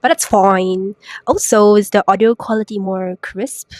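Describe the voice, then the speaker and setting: A young woman hosting a solo podcast while sick, her voice raspy and congested, chatty and self-mocking with lots of rhetorical questions. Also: medium pitch, fairly even intonation, measured pace, darkish tone, no echo. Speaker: podcast host. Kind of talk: casual solo podcast talk. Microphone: new podcast microphone.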